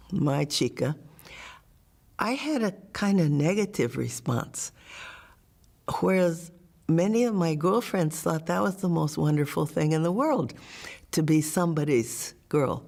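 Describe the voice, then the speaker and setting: An eighty-year-old nun tells stories from her youth with wry humor. Her voice is low and gravelly. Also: expressive, takes it slowly, a little mellow. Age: 80s. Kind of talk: oral history interview.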